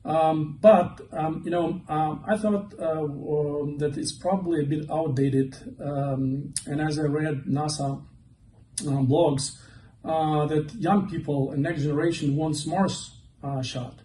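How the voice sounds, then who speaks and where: A man's voice: on the high side, steady tone, takes it slowly, mellow, a little hiss or hum. A man in his forties from Ukraine giving a conference talk as a presenter, hesitant and full of fillers. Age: 40s. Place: event hall. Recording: echoey hall, mic from far off.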